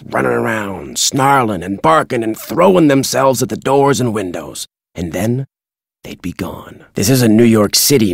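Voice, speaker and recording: Husky, gruff folksy birdwatcher. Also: male voice, lowish voice, no echo, clean studio sound. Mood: hushed, ominous. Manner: crotchety, folksy, deliberate storyteller. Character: gruff, folksy male birdwatcher and local storyteller. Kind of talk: video game character dialogue.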